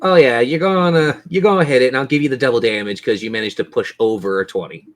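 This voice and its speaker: A man's voice: mid-range voice, fast. A man acting as game master, narrating vividly and ruling casually on a tabletop game. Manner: descriptive, vivid monster narration.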